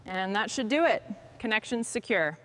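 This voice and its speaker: A woman's voice: fairly high pitch, lively intonation, normal speed, a bit bright. A woman working as a mechanic, giving short hands-on commentary on her work as she goes. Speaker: mechanic narrating restoration work.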